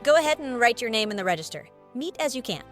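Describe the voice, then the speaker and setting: An actress playing a brisk, plain-spoken innkeeper with a British accent and a frank, chatty manner in a video game. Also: female voice, a little high, big pitch swings, a bit bright, soft background music. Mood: welcoming, businesslike. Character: brisk, plain-spoken woman innkeeper running an adventurers' guild. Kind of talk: scripted video game cutscene acting.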